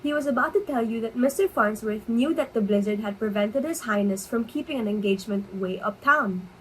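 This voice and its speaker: A deferential household servant, acted by a woman in a stage comedy reading. The voice is fairly high, polite and formal, over faint background noise. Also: fairly quick, lightly acted, rough recording. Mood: dutiful, deferential.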